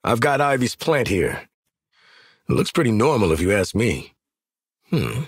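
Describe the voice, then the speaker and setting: A gruff, streetwise marksman leading a squad in a video game. His husky voice swings widely in pitch as he throws out brash, slangy orders and sardonic quips. The sound is close and dry. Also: very animated, measured pace, no echo, full character voice. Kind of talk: video game combat barks and banter.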